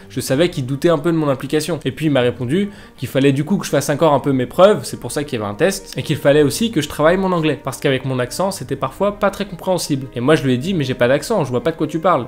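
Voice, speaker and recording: Young man, French accent, chatty storytelling, slightly high voice, faint music underneath. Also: a little mellow. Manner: casual, chatty, addresses viewers directly. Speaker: YouTuber narrating a story. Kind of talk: storytelling vlog narration. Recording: faint music bed behind voice.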